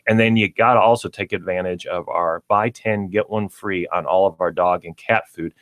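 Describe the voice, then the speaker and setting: Low-voiced, chatty man promoting a store. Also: moderate pace, dry recording. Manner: upbeat and chatty, with bursts of excitement. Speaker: pet store guest. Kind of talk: casual radio chat, promoting a store.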